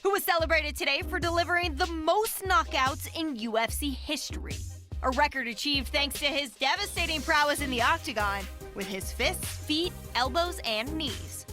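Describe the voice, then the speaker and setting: A woman's voice: high voice, bright, forceful tone. A woman presenting a scripted sports news update. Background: faint music bed.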